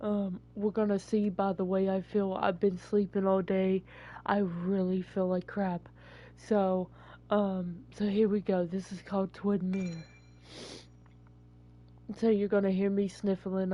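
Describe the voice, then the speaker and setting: A woman's voice: mid-range voice, little pitch movement, a little slow, mellow. A woman with a bad cold, talking in a rambling, apologetic way as she introduces a video game. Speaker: gaming YouTuber. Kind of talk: casual let's-play intro. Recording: dull, lo-fi home recording.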